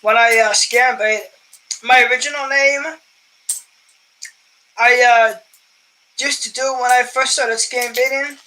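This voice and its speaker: A young man with a higher voice for a man chats casually while sorting his coin collection, amused at his own stories and often clearing his throat. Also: steady tone, slow pace, pauses often, sharp tone, slight background noise, rough recording. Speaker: coin collecting channel host. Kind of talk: casual rambling vlog chat while sorting coins.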